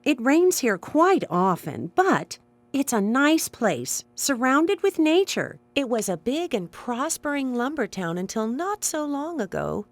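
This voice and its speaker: Sing-song, fussy old innkeeper. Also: female voice, high-pitched, big pitch swings, unhurried, no echo, heavily acted. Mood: hospitable. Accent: American. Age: older. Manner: genteel, chatty, fluttery. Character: kindly, fussy elderly widowed female innkeeper. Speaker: video game character. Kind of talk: scripted video game voice acting.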